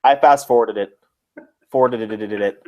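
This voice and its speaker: A man's voice: on the low side, normal speed, a little mellow. A man co-hosting a casual wrestling podcast, talking in a blunt, exasperated way with plenty of swearing. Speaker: podcast co-host.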